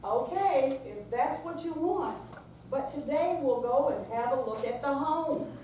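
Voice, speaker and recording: A woman playing a patient, coaxing grown daughter in a staged reading, with deliberate, read-out delivery. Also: high voice, lively intonation, mellow. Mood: firm, reasoning. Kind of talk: staged readers theater reading. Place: senior center room, staged live reading. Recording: very echoey hall, distant mic, faint noise.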